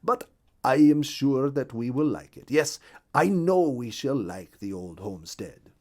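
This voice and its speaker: Guy doing a lonely partridge in a storybook, soft-spoken and wistful, voice sounds different from his normal one. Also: medium pitch, animated, measured pace, voice pushed up. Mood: gentle. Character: shy, lonesome male partridge. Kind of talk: children's storybook reading.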